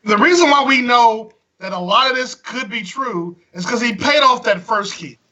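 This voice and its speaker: A hoarse man co-hosting a casual talk show, joking and jumping in with quick remarks. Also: extremely high pitch, low-quality recording. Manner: joking, animated, quick to interject. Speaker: co-host. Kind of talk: casual podcast chat.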